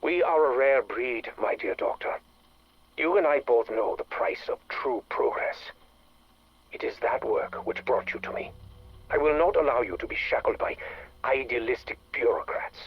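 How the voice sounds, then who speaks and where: An actor playing a ruthless patron of secret science in a game, husky and courtly, persuasive and quietly menacing. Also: male voice, medium pitch, lively intonation, normal speed. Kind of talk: dramatic game voice acting. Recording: muffled lo-fi radio-like log.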